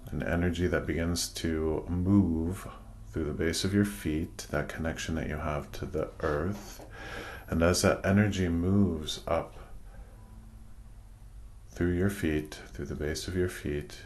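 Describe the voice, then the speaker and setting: Very deep-voiced man guiding a meditation, slow. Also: a little mellow, slight background noise. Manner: measured, deliberate phrasing with pauses. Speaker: guided meditation leader. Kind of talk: guided meditation, soothing instruction.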